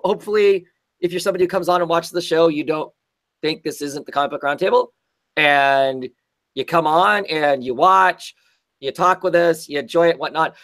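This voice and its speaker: Hoarse, scratchy-voiced man hosting. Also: a little high, pushed and bright. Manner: rambling, many restarts. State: hoarse, recovering from illness. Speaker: host. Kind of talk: casual livestream chat.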